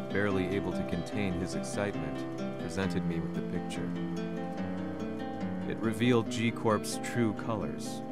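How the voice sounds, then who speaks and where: An actor playing a weary war reporter who narrates his story in a somber, measured, reflective manner for a video game. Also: sits low.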